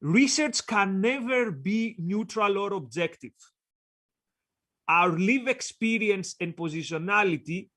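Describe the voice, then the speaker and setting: A man with a Greek accent, co-hosting a discussion, reading quotes aloud in short, paused chunks. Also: sits high, a bit bright. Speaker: co-host. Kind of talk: casual discussion.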